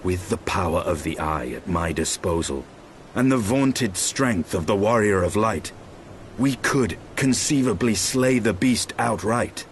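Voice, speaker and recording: An actor playing a grim, proud dragoon knight in a fantasy game, speaking formal archaic English in a measured, guarded manner. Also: male voice, fairly low pitch, slight background noise, lightly acted. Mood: cool, resolute.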